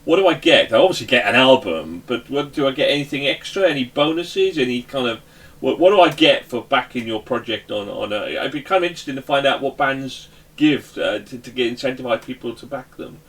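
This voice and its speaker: A man hosting a casual interview, asking questions in an informal, halting, repetitive way. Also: medium pitch, expressive, fairly bright tone, slightly rough. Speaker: interviewer, show host.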